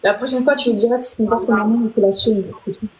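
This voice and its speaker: A woman chatting as a guest on a live stream, calm and reassuring. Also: fairly high pitch, fast, a crowd in the background, rough recording. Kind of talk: casual livestream chat. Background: music.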